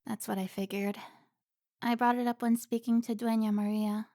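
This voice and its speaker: A woman's voice: on the high side, little pitch movement, moderate pace, mellow, dry recording, clean studio sound, lightly acted. A woman playing a stern, protective member of a vampire slayer guild in a cinematic audio roleplay, commanding and scolding.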